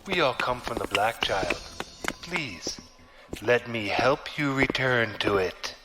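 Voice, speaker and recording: An actor playing a fanatical male cultist in battle armor, speaking calmly and condescendingly. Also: medium pitch, background noise. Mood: menacing calm. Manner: calm, slow, condescending menace. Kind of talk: audio drama acting. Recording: music score underneath.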